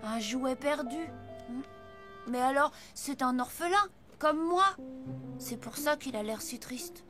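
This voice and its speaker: A woman's voice: high voice, takes it slowly. A woman voicing an earnest, tender-hearted boy in a cartoon, with a youthful, wondering manner. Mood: tender pleading. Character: earnest, tender-hearted orphan boy, Santa's young apprentice. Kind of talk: animated cartoon dubbing. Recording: music behind the voice.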